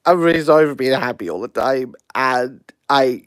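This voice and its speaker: A man's voice: medium pitch, moderate pace, a bit bright, clear, resonant tone. A Welsh man in his thirties hosting a casual livestream, rambling and self-deprecating as he answers viewer comments. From Wales. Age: 30s. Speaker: livestream host.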